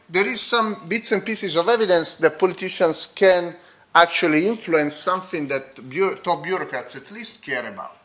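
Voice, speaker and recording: A man with a foreign accent presents a research paper to a conference audience. His voice sits fairly high for a man. He stumbles through fillers and restarts and keeps checking in with the listeners. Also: a bit bright. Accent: non-native accent. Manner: halting, frequent fillers and restarts; talks straight to the audience with checking "okay?" tags. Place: conference hall.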